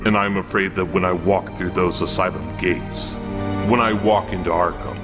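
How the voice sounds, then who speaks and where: An actor playing a brooding, haunted masked vigilante in a comic-book audio drama, speaking in a grave, confessional manner. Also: male voice, low-pitched, steady tone, moderate pace, music in the background, close to an everyday voice. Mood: grim, haunted.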